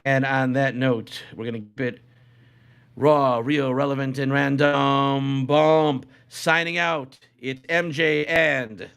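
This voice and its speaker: A man co-hosting a casual unscripted podcast, speaking haltingly and repeating phrases for emphasis. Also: mid-range voice, fairly even intonation, a bit bright, clear, resonant tone. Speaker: podcast co-host.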